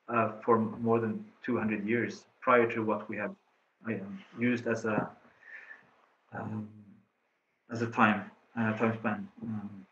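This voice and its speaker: A middle-aged man with a Norwegian accent, a researcher answering questions in an online talk, halting with many fillers and restarts. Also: on the low side, fairly bright tone. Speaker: presenting researcher. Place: office room indoors. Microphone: laptop webcam on a video call. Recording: slightly dull video call audio.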